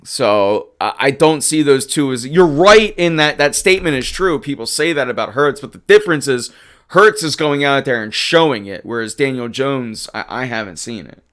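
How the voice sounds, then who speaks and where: Hoarse, animated sports host. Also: male voice, medium pitch, moderate pace. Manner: animated and emphatic, rattling off stats. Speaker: livestream sports show host. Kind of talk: casual sports talk reading chat.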